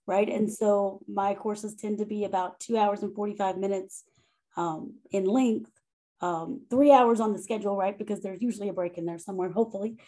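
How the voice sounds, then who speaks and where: Casting note: woman educator, loud but mellow voice, thoughtful interview talk with frequent restarts. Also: mid-range voice, fairly even intonation, normal speed, low-quality recording. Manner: thoughtful, self-correcting, frequent "right?" and "um". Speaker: faculty member and monograph author. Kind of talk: conversational interview.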